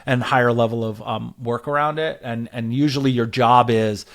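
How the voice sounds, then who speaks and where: Man giving measured, conversational advice. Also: medium pitch, fairly even intonation. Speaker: podcast guest, growth advisor. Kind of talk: casual interview conversation, explaining advice.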